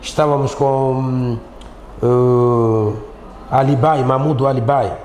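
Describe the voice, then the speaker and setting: A man's voice: mid-range voice, fairly even intonation, slow pace, darkish tone. A middle-aged man with a Mozambican accent, recounting things conversationally as an interview guest, repeating himself and often ending on tag questions. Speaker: interview guest, Islamic teacher. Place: echoey studio. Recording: roomy echo, faint background noise.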